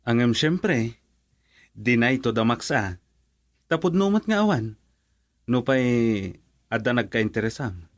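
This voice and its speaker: A man's voice: medium pitch, very animated, slow, pauses often, no room sound. An actor playing an easygoing young man who teases his friend and laughs easily, in a radio drama. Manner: casual, teasing, laughs easily.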